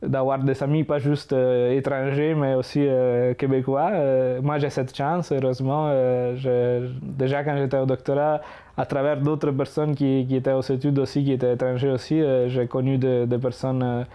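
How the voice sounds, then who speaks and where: A man's voice: medium pitch, level tone, moderate pace, mellow, smooth. A man in his thirties from Italy with an Italian accent, speaking hesitantly with frequent fillers in an interview. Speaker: immigrant interviewee. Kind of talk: reflective interview talk.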